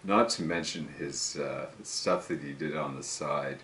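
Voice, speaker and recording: An older man talking slowly and self-assuredly to camera about recording cover songs, rambling and musing as he goes. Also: very deep voice, fairly bright tone, a little hiss or hum. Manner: slow, musing, self-assured, breaks into song. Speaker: home singer recording covers. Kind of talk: rambling solo monologue to camera.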